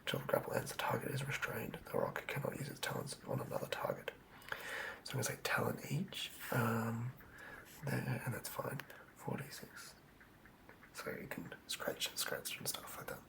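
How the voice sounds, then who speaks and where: ASMR creator, whispered and gravelly, hesitant unscripted murmuring, with varied intonation in the voiced bits. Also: medium pitch, huge pitch swings, normal speed. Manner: hushed, hesitant, murmuring to self. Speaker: ASMR creator designing a tabletop game monster. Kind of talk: whispered ASMR, unscripted thinking aloud while drawing.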